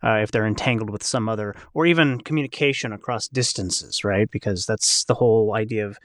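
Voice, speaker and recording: A man's voice: fairly low pitch, fairly quick, no echo. Man, podcast host. Conversational and keen, wanders through questions, dry studio-tight sound. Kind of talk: casual chat.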